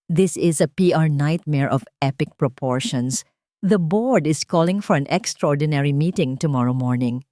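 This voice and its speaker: Woman doing an old chairman guy, icy n blunt, low dry voice, radio drama style. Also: normal speed, warm tone, no echo, lightly acted. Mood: cold, stern. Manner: cold, straight to the point. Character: old male board chairman, cold and blunt.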